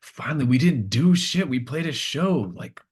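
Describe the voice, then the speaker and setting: Man voicing an exasperated punk woman, pitched up. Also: lively intonation, moderate pace, breathy edge. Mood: exasperated, urgent. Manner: urgent, impatient yelling. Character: exasperated female punk singer demanding to be freed.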